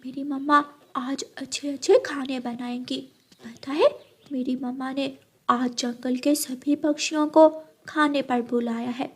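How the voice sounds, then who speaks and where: Woman as an eager, high-voiced little boy bird. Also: steady tone, measured pace, breathy edge. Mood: proud, eager.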